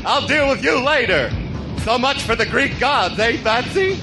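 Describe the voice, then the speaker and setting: Crazy gleeful clown villain, way high and swooping all over, comic book radio play, music under it. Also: male voice, lively intonation, moderate pace. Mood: gleeful, taunting.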